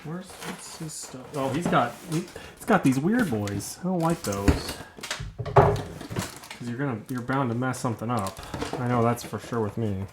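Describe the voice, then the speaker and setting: Man, gravelly voice, loose and chatty, mid-pitched, some background noise. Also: measured pace. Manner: easygoing, rambling, self-mocking. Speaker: vlogger unboxing toys. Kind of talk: casual unboxing chat.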